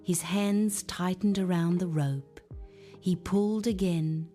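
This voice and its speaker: A woman's voice: lowish voice, steady tone, slow, dark tone, faint music behind. A woman narrating a scripted moral story for children as a storyteller.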